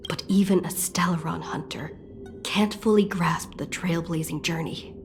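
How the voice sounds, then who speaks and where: An actress playing a pensive, earnest young heroine in a video game, talking in a hushed, introspective manner. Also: female voice, on the low side, steady tone, normal speed, natural voice. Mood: pensive, strained. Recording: music under the voice.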